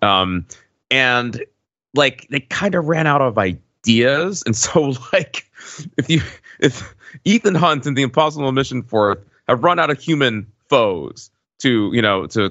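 A man's voice: medium pitch, a bit bright, dry recording, muffled recording. A man talking casually as a podcast panelist, thinking through films with rambling asides and qualifications. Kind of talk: casual film discussion.

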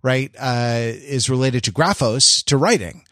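A man co-hosting a casual podcast, talking in a halting way with repeated false starts as he works out his thoughts. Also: medium pitch, a bit bright, dry recording, clean studio sound. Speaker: podcast co-host. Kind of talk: casual conversational podcast chat.

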